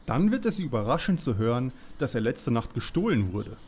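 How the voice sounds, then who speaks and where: A man's voice: medium pitch, big pitch swings, a little fast, a little mellow, slightly rough. Inquisitive young sleuth played by a man, mid-range voice, varied intonation, plain-spoken, for a comic radio drama. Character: direct, inquisitive young male detective. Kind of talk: parody detective radio play.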